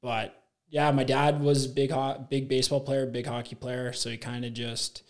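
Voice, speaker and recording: A man's voice: mid-range voice, level tone, moderate pace. A man in his thirties from Ontario, a podcast guest who talks casually in long, rambling runs, full of fillers and self-corrections. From Ontario, Canada. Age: 30s. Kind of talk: casual chat.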